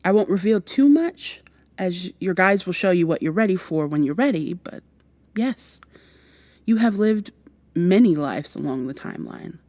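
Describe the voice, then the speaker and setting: Actress as earnest female time traveler for ASMR drama: mellow, close and dry, protective, slightly flustered and long-winded. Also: lowish voice, lively intonation, normal speed, no echo, lightly acted. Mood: earnest, explaining. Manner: hesitant, rambling, gently reassuring, some whispering. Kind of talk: ASMR roleplay, softly acted monologue to the listener. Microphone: close binaural microphone.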